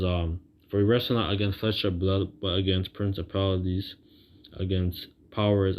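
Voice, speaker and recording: A man preaching informally as he talks and reads scripture, rambling and halting, repeating phrases and checking in with casual tags, on a muffled recording. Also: sits low, a little mellow, a little hiss or hum. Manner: colloquial, seeks agreement with tags like "right". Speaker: religious preacher. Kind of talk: informal sermon with scripture reading.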